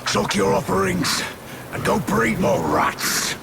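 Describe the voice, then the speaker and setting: A sneering gravelly demon brute. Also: male voice, high-pitched, fairly even intonation, takes it slowly, loud music behind the voice, exaggerated voice. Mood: taunting. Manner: taunting, crude. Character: gruff boastful demon chieftain, brutish and threatening. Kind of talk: video game cutscene acting.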